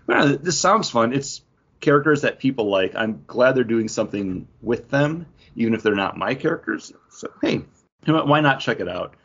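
A man's voice: mid-range voice, lively intonation, normal speed, a bit bright, slightly rough. A man hosting a podcast, chatting casually about comic books. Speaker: podcast host. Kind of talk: casual comic book discussion. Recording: dull, muffled lo-fi audio.

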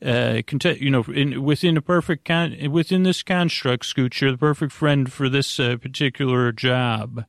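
A man with a creaky, gravelly voice, hosting a podcast in a meandering, halting bedtime monologue that keeps interrupting itself. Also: mid-range voice, dry recording, clean studio sound. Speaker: podcast host. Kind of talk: rambling bedtime monologue to help listeners sleep.

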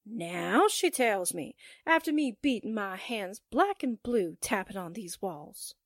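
A woman acting a slangy, grumbling young newspaperwoman sidekick in a 1940s-style radio drama, with comic, drawn-out delivery. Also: medium pitch, animated, no room sound, studio quality. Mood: wry, complaining.

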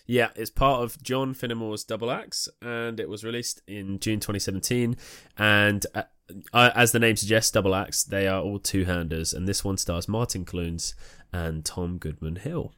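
A man's voice: on the low side, fairly even intonation, moderate pace, fairly bright tone, clear, resonant tone, no room sound, clean studio sound. A man co-hosting a podcast in casual, easygoing, slightly tentative chat. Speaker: podcast co-host.

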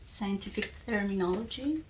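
A woman's voice: medium pitch, expressive, noisy background. A woman from Colombia with a Colombian accent, a native Spanish speaker, talking reflectively and searching for words as a guest. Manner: halting, searching for words in a second language. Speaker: podcast guest, poet and teacher. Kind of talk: casual reflective conversation.

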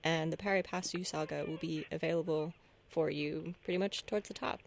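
A woman's voice: deep voice, fairly even intonation, a little fast, vocal fry, a little hiss or hum. A woman on a podcast panel, chatting casually in a self-deprecating, hesitant way.

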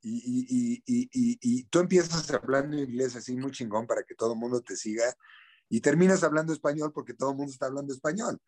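A middle-aged man with a Mexican accent talks frankly in an interview. His voice sits at an ordinary middle pitch. He drops in slang and loops on repeated words while he hunts for the right phrase. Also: fast talker, a little mellow. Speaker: actor, interview guest. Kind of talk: casual interview.

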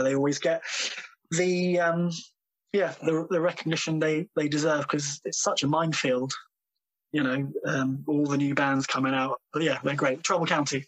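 A man's voice: fairly high pitch, fairly bright tone, low-quality recording. Hesitant man chatting about bands. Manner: hesitant, full of ums, laughs easily. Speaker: drummer and interview guest. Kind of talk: casual interview chat.

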